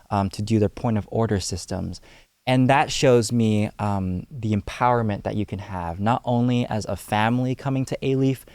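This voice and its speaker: An earnest young man answering podcast interview questions with many pauses and ums. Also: on the low side, a little mellow, no echo. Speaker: guest, community organizer.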